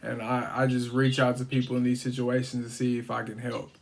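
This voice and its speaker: A man with a fairly flat, mid-pitched voice talks in a casual, explanatory way. He sounds like a real estate cold caller walking someone through options in informal language. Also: a little fast, creaky, rough recording. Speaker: real estate wholesaler making cold calls. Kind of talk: casual chat and phone sales calls.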